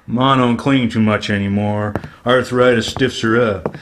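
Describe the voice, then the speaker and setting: A man's voice: mid-range voice, steady tone, unhurried, lightly acted. An actor playing a menacing rural gunman in a radio drama, with a folksy, taunting drawl. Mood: folksy, insistent. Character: menacing rural gunman, chuckling, living with his Ma. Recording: very noisy radio drama soundscape.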